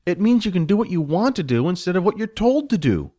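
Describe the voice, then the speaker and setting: A man's voice: high voice, big pitch swings, fast talker, dark tone, pitched above the performer's own voice. A man voicing an earnest, confused young man who tries to reassure others.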